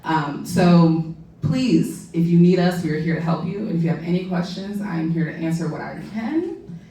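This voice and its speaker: A woman policy lawyer with a loud voice, speaking earnestly and conversationally to a community audience, with frequent "um" and "right?". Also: lowish voice, lively intonation, normal speed. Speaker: policy lawyer giving a public talk. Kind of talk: public talk to a community audience. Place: public library meeting room. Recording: echoey room, mic far off.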